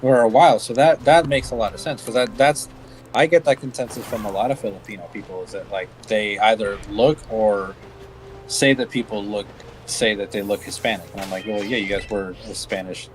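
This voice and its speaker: A man's voice: lowish voice, brisk, slight background noise, low-quality recording. A man chatting casually as co-host, laid-back and joking, with many "uh"s and "yeah"s. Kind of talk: casual chat.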